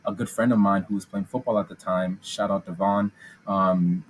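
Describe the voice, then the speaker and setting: A young man from Ghana speaks in a fairly low voice. He talks as a podcast guest, chatty and upbeat, filling pauses with ums and restarting his sentences. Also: steady tone, a little hiss or hum, poor audio. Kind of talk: casual interview storytelling.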